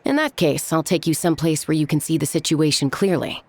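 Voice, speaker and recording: A woman voicing a laid-back female warrior who talks in a relaxed, easygoing, dry way. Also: deep voice, fairly quick, dry recording. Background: game music.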